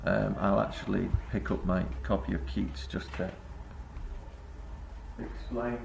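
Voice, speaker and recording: Male vlogger, low and heavily gravelly, rambling reflective delivery full of hesitations. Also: steady tone, a little slow, background noise. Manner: rambling, hesitant, many filler sounds. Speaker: vlogger and busking singer. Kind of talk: reflective spoken essay to camera.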